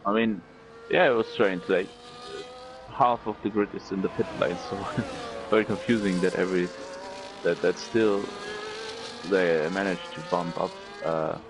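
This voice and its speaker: Deep-voiced, hesitant male co-commentator. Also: fairly even intonation, unhurried, noisy background. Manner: hesitant, filler-heavy, laughs easily. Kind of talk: casual race commentary.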